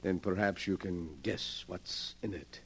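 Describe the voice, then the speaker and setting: A sinister, wealthy master of the house in old radio style. His voice is low, sly and imperious, every phrase weighted with menace. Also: fairly even intonation, measured pace, fairly bright tone, breathy edge, exaggerated voice. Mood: sly, gloating. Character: sinister, wealthy wheelchair-bound male collector of murder relics. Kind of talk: old-time radio mystery acting.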